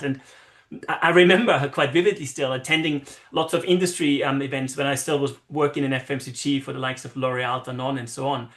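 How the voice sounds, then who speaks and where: A man's voice: a little high, fairly bright tone. A man in his forties with an Austrian accent, giving casual interview answers over a video call, enthusiastic and rambling with fillers. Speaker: guest, marketing consultant.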